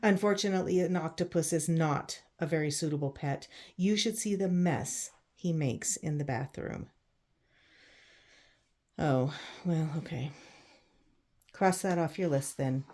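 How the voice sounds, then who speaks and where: A woman reads a children's picture book aloud, pausing to explain simply and put questions to her young listeners. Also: sits low, mellow. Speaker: read-aloud storyteller for children. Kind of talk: picture book read-aloud with chatty asides.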